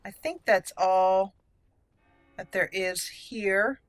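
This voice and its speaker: A woman's voice: medium pitch, extremely slow, no echo, faint music behind. Chatty woman on a close, dry mic. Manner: chatty, thinks aloud, switches into formal in-character lines. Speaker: gaming channel host and player. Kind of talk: roleplay video game walkthrough commentary. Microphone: close, dry microphone.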